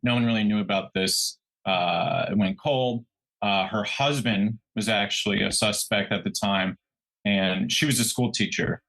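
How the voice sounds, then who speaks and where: A man's voice: fairly low pitch, a little slow, low-quality recording. A man co-hosting a true crime podcast, telling the story conversationally and acting out people's reactions as he goes. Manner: animated retelling, acts out quoted reactions.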